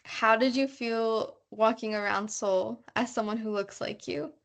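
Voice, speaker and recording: A woman's voice: on the high side, steady tone, a little slow, vocal fry. A young woman, a student abroad, chatting casually about daily life, with many fillers and "like"s. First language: bilingual. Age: young adult. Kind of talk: casual conversation.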